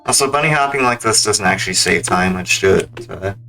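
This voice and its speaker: A male streamer commentates casually on a speedrun. His voice sits fairly low and has a bright, forward edge, with faint music behind it. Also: fast, vocal fry, rough recording.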